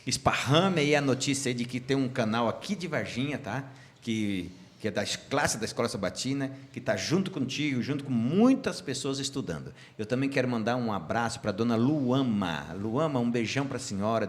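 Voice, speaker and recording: A man's voice: medium pitch, animated, a little fast, hardly any pauses. Man, from Brazil, Bible study teacher, casual chat, friendly and joking. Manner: chatty and warm, sending greetings and joking. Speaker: Sabbath school lesson teacher.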